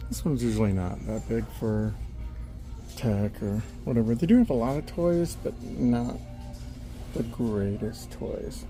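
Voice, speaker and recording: A middle-aged man with a slightly raspy voice browses a thrift store and talks to himself in a relaxed, offhand way. His pitch sits in a plain middle range. Store music plays clearly in the background. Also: unhurried. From Las Vegas area. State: slightly raspy from dust and a cough. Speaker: thrift vlogger. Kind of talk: casual thrift-store commentary.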